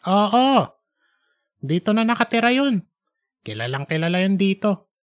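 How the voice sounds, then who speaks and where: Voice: mid-range voice, big pitch swings, a little slow. A narrator voicing a chatty woman who cleans a church, talking in a colloquial, matter-of-fact and emphatic way. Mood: chatty, sure. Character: chatty, admiring female church cleaner, older than youth.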